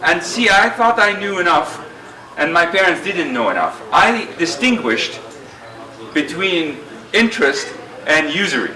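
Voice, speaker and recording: A middle-aged man with a booming voice giving an earnest speech at a community dinner, telling stories with many fillers. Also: sharp tone, slight background noise. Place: large banquet hall. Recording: roomy hall sound, distant mic.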